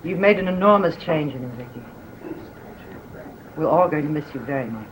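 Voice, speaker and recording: An actress playing a gracious, affectionate matriarch in a 1960s television soap, on a noisy old soundtrack. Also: female voice, low voice, expressive, normal speed. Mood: fond, gracious.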